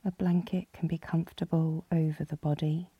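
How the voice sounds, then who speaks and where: A woman leading a guided yoga nidra relaxation as the guide, calm and soothing, with a soft, breathy, husky voice. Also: sits low, moderate pace, no room sound, phone-quality sound. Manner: calm, soothing, gently instructing.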